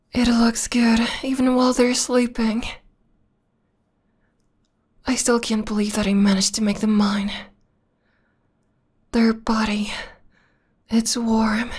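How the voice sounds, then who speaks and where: A woman voicing a possessive, adoring vampire lover in an intimate ASMR roleplay, with a breathy whisper and a slow, tender, lingering delivery. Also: a little high, darkish tone. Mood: dreamy adoration.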